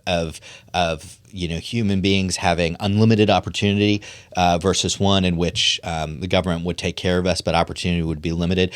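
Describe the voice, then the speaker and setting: A middle-aged man from Pennsylvania speaks as a podcast guest, his voice sitting very low. He talks earnestly and thoughtfully, pausing on ums and restarting phrases. The sound is dry and close, with no room around it. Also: no echo. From Pennsylvania, USA. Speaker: podcast guest, political strategist. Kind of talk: conversational podcast interview.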